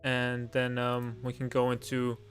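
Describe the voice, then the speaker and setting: A man's voice: medium pitch, completely flat delivery, measured pace, soft background music. A young man whose first language is Lithuanian, hosting a casual live-stream Q and A, rambling and self-deprecating with many fillers.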